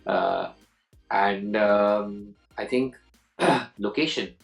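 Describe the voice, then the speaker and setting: Low-voiced man in his forties, chatty. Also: huge pitch swings, extremely slow, gravelly, soft background music. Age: 40s. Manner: rambling, restarts and repeats words, laughs easily. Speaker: actor being interviewed. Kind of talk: casual interview answers.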